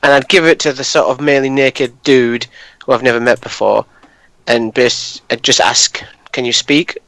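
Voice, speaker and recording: A man's voice: mid-range voice, level tone, moderate pace, fairly bright tone, no echo, rough recording. A man taking part in a tabletop game as a player, chatting casually and politely about his character's actions.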